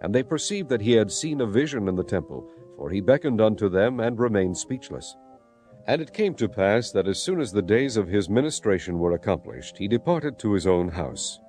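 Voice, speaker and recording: A man's voice: lowish voice, sing-song, normal speed, mellow. A man narrating scripture dramatically, in a solemn storytelling manner. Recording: music behind the voice.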